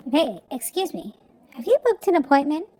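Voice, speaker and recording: A man's voice: sing-song, normal speed, dark tone, low-quality recording. A young man voicing a polite female studio receptionist in a homemade audio story. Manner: polite, businesslike, raised falsetto.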